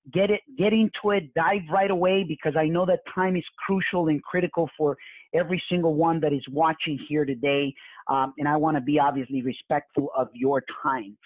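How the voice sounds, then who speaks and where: A man's voice: on the high side, no echo. Male webinar host, Mexican Spanish accent, motivational, stumbles and restarts a lot, very dry tinny line. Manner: repetitive restarts and stumbling fillers. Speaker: real estate webinar host. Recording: tinny phone-like webinar audio.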